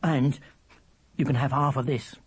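A man's voice: medium pitch, steady tone, unhurried, husky, dry recording. A man voicing a schoolboy older brother in a storybook, kindly, hesitant and a little lofty. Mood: generous.

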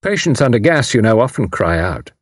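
A man's voice: medium pitch, sing-song, moderate pace, vocal fry, no room sound, studio quality, pitched above the performer's own voice. A man doing a mocking spy commander. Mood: mocking, smooth. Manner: suave, mocking, then grimly threatening. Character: mocking menacing male enemy spy commander.

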